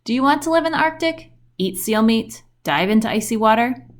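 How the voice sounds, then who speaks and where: A woman reading a children's book aloud in an expressive storytelling style with questioning intonation. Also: on the high side, fairly quick.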